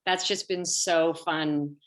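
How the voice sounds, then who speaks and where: A woman's voice: deep voice, steady tone, slow. A woman, an actor and improviser, chatting casually as a guest on a panel.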